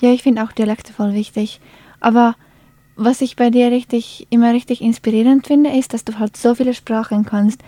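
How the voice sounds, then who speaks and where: A woman's voice: fairly high pitch, level tone, moderate pace, mellow, no room sound. A young woman hosting a casual chat, encouraging and friendly, with short backchannels. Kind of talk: casual conversation.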